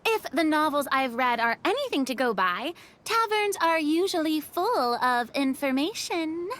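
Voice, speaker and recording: A woman's voice: high-pitched, sing-song, a little slow, fairly bright tone, exaggerated voice. An actress playing a polite, bookish young woman adventurer who reasons things out thoughtfully and a little hesitantly. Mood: thoughtful, curious.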